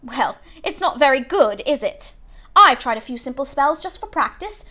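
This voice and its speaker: Storybook schoolgirl, performed by a woman: high voice, bossy, eager, self-assured know-it-all. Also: lively intonation, normal speed, sharp tone. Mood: eager, boastful.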